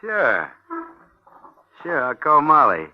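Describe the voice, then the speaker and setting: A gruff, wary gangster with a fairly high voice and lively, swinging intonation. It comes from a muffled 1950s radio drama. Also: male voice, takes it slowly, slight background noise, heavily acted. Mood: easygoing. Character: gruff, wary male gangster hiding out with his lover.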